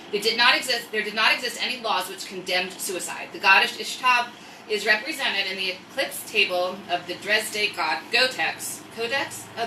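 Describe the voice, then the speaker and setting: A woman's voice: mid-range voice, pushed and bright. An American woman hosting a casual, chatty livestream story time, rambling with jokey asides and reading aloud. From United States. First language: English. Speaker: host of a live story-time stream. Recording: roomy echo, distant mic, faint noise.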